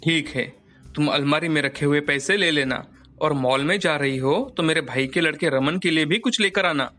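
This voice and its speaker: A man's voice: on the high side, moderate pace, pushed and bright, faint music behind. An actor playing a mild, resigned husband and father in a moral story, earnest and patient.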